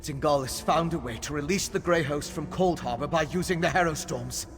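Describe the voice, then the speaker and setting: An actor playing an earnest young scholar mage in a video game, thinking aloud in an absorbed, urgent way. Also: male voice, a little high, a little fast, vocal fry. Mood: thoughtful. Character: earnest scholarly young male mage investigating dark rituals. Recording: game music behind the voice.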